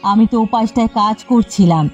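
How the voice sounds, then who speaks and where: Cartoon village elder sister, played by a woman: kind, firm fisherwoman, mellow tone, music underneath. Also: a little high, brisk, breathy edge. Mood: firm, mildly annoyed. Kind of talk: Bengali cartoon dialogue. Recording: slightly muffled audio with background music. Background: background music.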